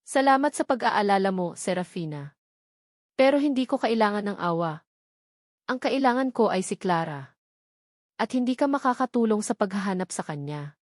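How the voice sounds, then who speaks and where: A woman's voice: medium pitch, expressive, normal speed, long pauses, no room sound, natural voice. Woman reading as serious worried husband in an audio love story, earnest, very dry audio. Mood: firm, rejecting. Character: earnest, troubled husband searching for his missing wife.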